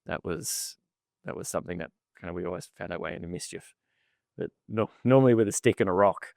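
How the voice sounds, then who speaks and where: A man's voice: sits low, fast, vocal fry, a little mellow, dry recording, clean studio sound. A middle-aged man with an Australian accent, telling his life story as a podcast guest in a chatty, self-deprecating way. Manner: chatty and self-deprecating, often repeats words and laughs. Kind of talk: casual storytelling interview.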